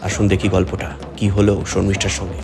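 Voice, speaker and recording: A male narrator with a low voice delivers scripted lines straight to the viewer. His manner is earnest and exhorting, with faint music underneath. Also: level tone, fast talker.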